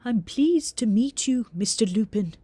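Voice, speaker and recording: A woman's voice: fairly high pitch, expressive, warm tone, husky, no echo, voice pushed up. A woman voicing a stern, formal older female teacher with a reedy Scottish accent in an audiobook. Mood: formal, welcoming. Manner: prim, measured, formal.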